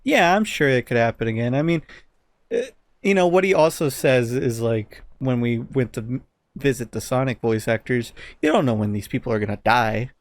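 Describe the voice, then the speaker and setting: A man's voice: mid-range voice, normal speed, no room sound. A man chatting casually as a podcast co-host, telling anecdotes in a rambling, informal way with some swearing. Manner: rambling, informal, profane at times.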